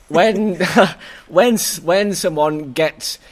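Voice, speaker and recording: A hoarse man hosting a casual review chat, excitable and full of stammering restarts. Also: high-pitched, extremely slow. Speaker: host.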